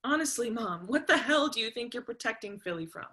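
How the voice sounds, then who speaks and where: Casting: actress as young student daughter, high-pitched and forceful, sharp-tongued, sarcastic, confrontational, for a dramatic play reading. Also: female voice, expressive, brisk. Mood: demanding.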